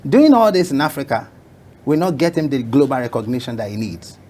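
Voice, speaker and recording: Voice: medium pitch, very animated, fairly quick, warm tone. A talk show guest telling an emphatic story, often repeating phrases for effect. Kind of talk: motivational talk show conversation.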